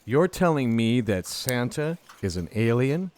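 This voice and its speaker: A man's voice: mid-range voice, very animated, a little slow, a little mellow. A man playing a baffled, incredulous everyman in a comic audio drama. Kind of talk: audio drama comedy acting.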